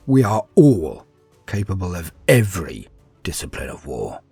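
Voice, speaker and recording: A man voicing a proud, battle-hungry space marine in a sci-fi war story, with a husky voice and a haughty, grandiose manner. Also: on the low side, a little mellow, no room sound, full character voice. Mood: scornful, proud. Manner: haughty, scornful, grandiose, dramatic. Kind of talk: first-person dramatic sci-fi war storytelling.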